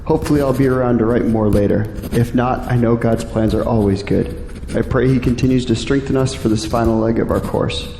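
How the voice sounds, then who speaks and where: A man acting as an earnest young male graduate in an audio drama. His voice is soft-edged and mellow, set in a reverberant space with faint background noise. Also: mid-range voice, steady tone, fairly quick, close to an everyday voice. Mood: weary, reflective. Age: young adult. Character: earnest young male college graduate, later a weary diarist.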